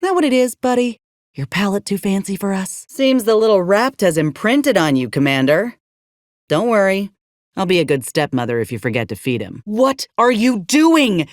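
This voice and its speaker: An actress playing a plucky, principled ex-soldier turned freelancer in a space-frontier video game, with plainspoken, folksy phrasing. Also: female voice, medium pitch, big pitch swings, moderate pace, no echo, clean studio sound, lightly acted. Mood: teasing, then indignant. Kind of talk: video game character acting.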